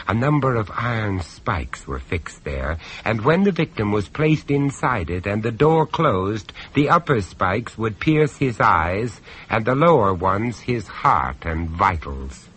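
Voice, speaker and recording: An actor playing the male narrator of a radio horror tale, measured, urbane and ominous. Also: on the low side, a bit bright, slight background noise, poor audio.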